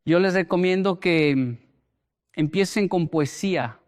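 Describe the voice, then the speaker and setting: A man speaking as a motivational speaker, deliberate and emphatic, repeating phrases for effect as he explains and tells stories. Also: high-pitched. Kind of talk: motivational talk with storytelling.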